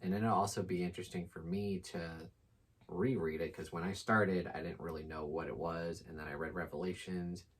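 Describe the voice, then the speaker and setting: A man's voice: sits low, fairly even intonation, moderate pace, breathy edge. A man co-hosting a casual book chat with informal, slangy, enthusiastic talk. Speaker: book channel co-host.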